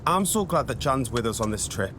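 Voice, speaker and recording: A man's voice: mid-range voice, expressive, background noise. A Geordie man in his thirties narrating a reality series and chatting candidly with his father. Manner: candid, emotional, quick to tease. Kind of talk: reflective narration and casual chat.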